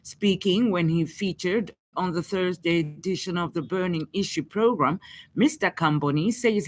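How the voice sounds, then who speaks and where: A woman with a Zambian accent reading a scripted news bulletin as a radio presenter in a formal, measured manner. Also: lowish voice.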